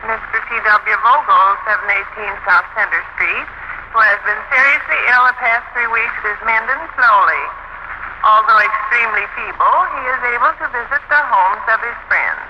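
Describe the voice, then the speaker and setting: An actress playing a brisk, nagging middle-aged mother in a radio domestic comedy, plain-spoken and folksy. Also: fairly high pitch, natural voice. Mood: matter-of-fact, gossipy. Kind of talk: old-time radio domestic comedy acting. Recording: noisy, dull vintage radio recording.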